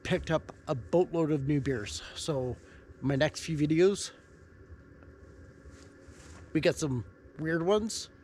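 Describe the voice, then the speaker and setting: A man's voice: on the high side, takes it slowly, pauses often, slight background noise. A man with a stuffy, nasal voice from a head cold, chatting casually to camera outdoors at a campsite. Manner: relaxed, rambling, with fillers and restarts. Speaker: vlogger. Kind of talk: casual vlog talk to camera.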